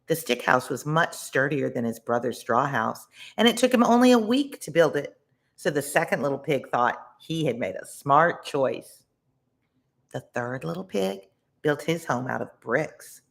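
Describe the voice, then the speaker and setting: Gravelly children's storyteller, expressive. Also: medium pitch. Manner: deliberate, expressive, explains words simply. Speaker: read-along storyteller and tutor. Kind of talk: children's story read aloud, with word explanations.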